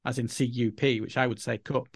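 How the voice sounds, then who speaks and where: A man's voice: medium pitch, little pitch movement, brisk, slightly rough, no echo, studio quality. A middle-aged man from Yorkshire with a Yorkshire accent, chatting as a podcast guest in a rambling, self-deprecating way. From Yorkshire, England. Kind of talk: casual interview talk.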